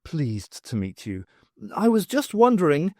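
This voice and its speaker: A man voicing a younger woman academic in an audiobook, brisk and polite. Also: on the high side, huge pitch swings, darkish tone, no room sound, clean studio sound. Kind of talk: audiobook character voice.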